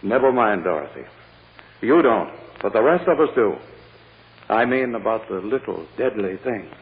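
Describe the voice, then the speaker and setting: Sly pushy prosecutor, lively swoopy delivery, dramatic, tinny old radio show. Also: male voice, lowish voice, normal speed. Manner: theatrical, pointed, coaxing. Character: sly, insistent male prosecutor questioning a child. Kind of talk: 1940s radio drama acting.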